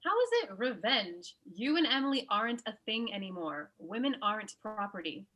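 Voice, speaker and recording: Woman playing a bright-voiced, dry lawyer. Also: mid-range voice, expressive, normal speed, muffled recording. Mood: dry, impatient. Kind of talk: table read of a comedy script.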